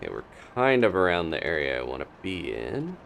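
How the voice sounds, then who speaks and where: A man's voice: low-pitched, sing-song, fairly quick, creaky, fairly bright tone, a little hiss or hum. A man giving casual live gameplay commentary as a streamer, thinking aloud as he plays. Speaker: game streamer, host.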